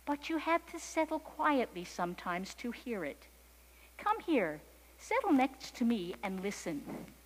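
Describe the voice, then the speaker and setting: A woman voicing a gentle, reassuring mother cat in a storybook, speaking tenderly and unhurriedly. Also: fairly high pitch, big pitch swings, a little slow, big-room sound, slight background noise, rough recording.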